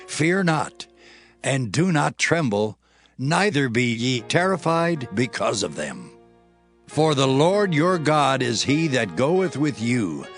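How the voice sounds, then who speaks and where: A man's voice: mid-range voice, a bit bright, exaggerated voice. A man playing a priest who exhorts an army before battle in declamatory dramatized scripture style.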